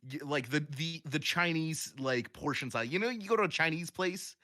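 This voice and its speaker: New York-accented man, bright tense tone, fairly high voice, ribbing the other hosts. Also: expressive, moderate pace. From United States, New York. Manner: teasing, joking banter. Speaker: podcast guest. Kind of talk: casual podcast chat.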